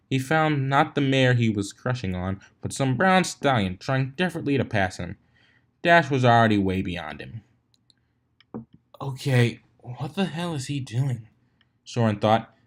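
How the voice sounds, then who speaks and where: A man reading fan fiction aloud as a narrator, now and then stumbling and rereading a phrase. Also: mid-range voice. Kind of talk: story reading with character voices.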